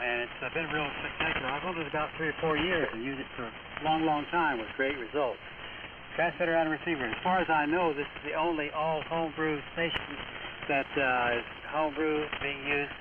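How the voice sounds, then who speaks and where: A man hosting an amateur radio net over single-sideband, talking in a casual, folksy, unhurried way. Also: fairly high pitch. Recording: noisy, narrow-band shortwave radio transmission.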